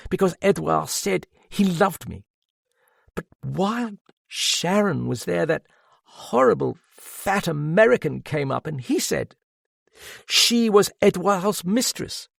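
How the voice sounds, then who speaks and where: A woman's voice: on the low side, expressive, slow, vocal fry, husky, no echo, studio quality, pitched above the performer's own voice, exaggerated voice. A man voicing a proud, desperate woman who cries out with passion, bitter and near tears, in a read-aloud story.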